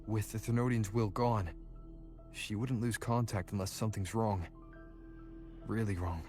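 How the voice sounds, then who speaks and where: An actor playing a calm, serious young male adventurer in a video game, restrained and to the point. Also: lowish voice, unhurried, over music, natural voice.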